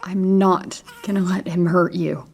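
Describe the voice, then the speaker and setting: A woman's voice: on the low side, steady tone, unhurried, crowd noise. An actress playing a frightened, tender mother in an audio drama, pleading and tearful. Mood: pleading, protective. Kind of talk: audio fiction acting.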